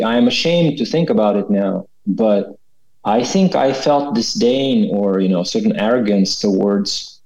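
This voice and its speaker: A man's voice: fairly low pitch, a little mellow. A man in his forties from Kazakhstan, giving a reflective personal account as a guest and often checking in with "right?". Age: 40s. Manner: thoughtful, often ends with "right?". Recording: dull lo-fi sound.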